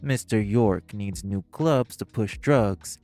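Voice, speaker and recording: A man's voice: lowish voice, slow, a little mellow, soft background music. An actor playing a smug, catty male crime businessman who needles the others with sarcasm. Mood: mocking. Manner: sarcastic, provoking, flirtatious jabs. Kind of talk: audio roleplay acting.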